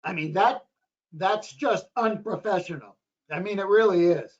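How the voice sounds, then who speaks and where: A hoarse man on a town planning board, rambling through a report to the other members in a meeting room. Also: high-pitched, normal speed. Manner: rambling, repeats himself. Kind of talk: meeting discussion. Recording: dull lo-fi local TV audio.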